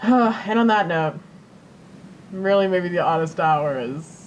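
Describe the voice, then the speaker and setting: A woman's voice: on the low side, lively intonation, slow pace, a little hiss or hum, poor audio. A woman co-hosting a podcast, chatting casually with understated, dry reactions. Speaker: podcast co-host.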